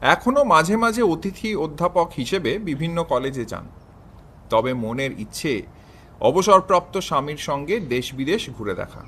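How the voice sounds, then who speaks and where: A man narrating a scripted story in an expressive, literary manner. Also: a little high, moderate pace, a little hiss or hum.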